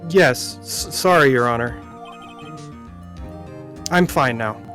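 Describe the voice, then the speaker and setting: A man plays an earnest young defence lawyer in an amateur video game dub. He is emphatic and easily flustered, pitched in the middle, with music behind. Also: takes it slowly, a bit bright. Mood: pleading. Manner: emphatic, pleading.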